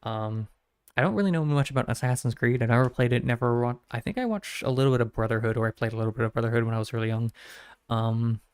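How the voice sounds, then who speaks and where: Male streamer, monotone, rambling casual chat, medium pitch, dry close mic. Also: fast talker, clean tone, no echo. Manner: monotone, rambling, self-correcting.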